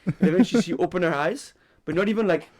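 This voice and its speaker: A young man, a university lecturer, chatting casually on a podcast and telling animated stories about his students. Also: sits high, fast talker. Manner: animated storytelling, acts out his students and himself; frequent fillers and restarts. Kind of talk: casual chat.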